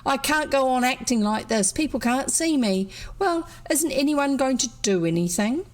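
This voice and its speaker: A bossy young schoolboy barks orders and hams up his lines in a storybook reading, as a woman puts him on. His voice sits higher than an everyday speaker's and he turns sarcastic when thwarted. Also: lively intonation, normal speed. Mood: exasperated. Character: bossy scruffy schoolboy gang leader.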